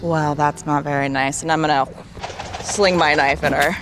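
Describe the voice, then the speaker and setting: A woman casually role-playing as a player in a tabletop game, haltingly thinking aloud as she describes her actions. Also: low voice, steady tone, background noise.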